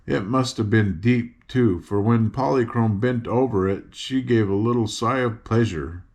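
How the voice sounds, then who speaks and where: An older man reading a children's book aloud in a gentle, slow read-aloud manner. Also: on the low side. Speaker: storyteller.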